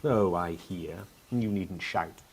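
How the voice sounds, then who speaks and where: A man's voice: sits low, slow pace, creaky, breathy edge, heavily acted. A man acting a young uncrowned king in a radio play, whining, sarcastic and stubborn. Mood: mocking. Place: actor's home, recorded remotely.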